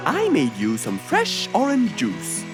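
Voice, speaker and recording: A woman's voice: low voice, huge pitch swings, slow pace, music in the background, exaggerated voice. A woman voicing a kind, helpful male fox in a children's cartoon, with an exaggerated, reassuring, sing-song delivery.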